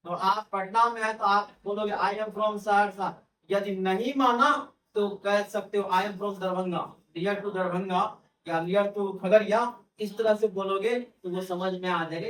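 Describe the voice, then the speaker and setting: A man teaches a classroom lesson, asking the students the same thing again and again. His voice sits high and carries through an echoey room. He sounds a bit far from the mic. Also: fairly bright tone. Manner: questions the class over and over, repeats key phrases. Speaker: English teacher. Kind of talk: classroom lecture.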